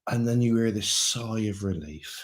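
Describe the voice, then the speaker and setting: Guy with a fairly low voice, dry teasing jokes on a live q&a. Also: normal speed. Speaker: co-host of a travel channel live stream. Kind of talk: casual live Q&A chat.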